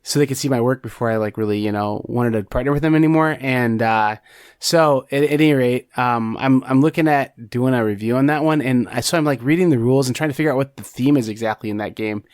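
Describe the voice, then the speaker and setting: Man, mid pitch, casual rambling podcast talk, dry close recording. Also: quick pace, a little mellow, no echo. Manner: rambling, many restarts and fillers. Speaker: podcast co-host. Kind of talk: casual chat.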